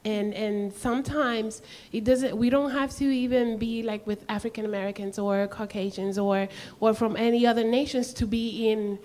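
A native Spanish-speaking woman making an earnest, halting comment from the audience at a lecture. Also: fairly high pitch, steady tone, a little mellow. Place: indoor hall.

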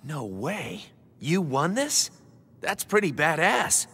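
An American actor playing a cocky, irritable, foul-mouthed young assassin in a video game, with slangy delivery. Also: male voice, sing-song, slow pace, pushed and bright, breathy edge, heavily acted. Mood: impressed. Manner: brash, slangy, exasperated. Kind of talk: video game cutscene acting. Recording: game audio with hall reverb, rumbly noise.

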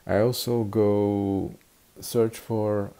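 A man in his forties from Flanders with a Flemish Dutch accent, teaching watercolor in halting English full of "uh" fillers, speaking into a webcam in an attic studio. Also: lowish voice, flat delivery, mellow. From Belgium (Flanders). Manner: halting, many "uh" fillers, searching for words. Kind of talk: hesitant tutorial narration. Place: attic studio with wooden beams.

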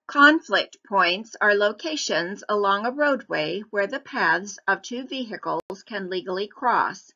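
A woman reads a formal public hearing presentation as a scripted voice-over. Her tone is bright and her delivery is even and measured. The audio is slightly dull and lo-fi. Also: mid-range voice. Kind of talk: scripted narration.

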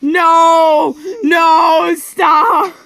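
A woman chatting casually while gaming, excitable and given to exclaiming in frustration. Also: very high-pitched, very slow, dry recording. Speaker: gaming video creator. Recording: noisy home recording with clicks.

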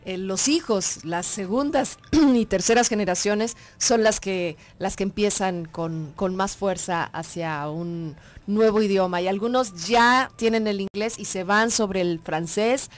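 A young woman from Mexico hosts a morning radio talk show in lively Mexican Spanish. She chats easily, asks her guest questions and calls out greetings to listeners, close on a studio mic. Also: mid-range voice, unhurried. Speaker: radio host. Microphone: studio microphone on a boom.

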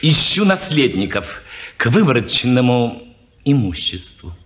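An actor playing an odd, unbalanced man in his forties who talks in a halting, evasive way in an old radio play. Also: mid-range voice, big pitch swings, fairly bright tone. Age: 40s. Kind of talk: 1950s radio play acting.

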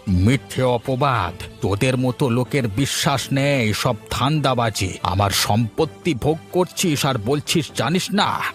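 A man's voice: medium pitch, animated, measured pace, faint music behind, lightly acted. A man voicing a spiteful, greedy village schemer in a cartoon, with a conniving, accusatory manner. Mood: menacing, accusing. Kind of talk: cartoon dialogue acting.

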